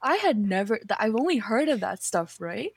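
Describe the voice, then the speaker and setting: A young woman from Nepal in a casual podcast chat, speaking in a relaxed way with many fillers. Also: fairly high pitch, moderate pace, creaky, slightly rough, dry recording. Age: young adult. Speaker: podcast co-host.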